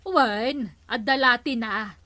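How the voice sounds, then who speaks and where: A woman's voice: fairly high pitch, takes it slowly, sharp tone, dry recording. A woman with an Ilocano accent playing a choosy, frank village passenger in a comedy drama. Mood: reasoning. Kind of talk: barangay comedy drama acting.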